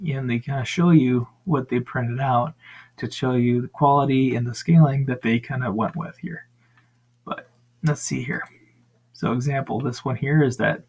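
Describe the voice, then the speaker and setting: A man with a raspy voice casually talking through crowdfunding pages for tabletop games, with lots of ums and uhs. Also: mid-range voice, unhurried. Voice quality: frequent ums and uhs, relaxed rambling. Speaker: tabletop gaming channel host. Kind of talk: casual screen-share review.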